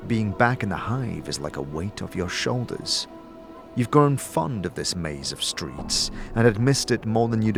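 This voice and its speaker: A man delivering measured, scripted second-person narration for a fantasy audio drama, telling it like a story. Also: on the low side, creaky.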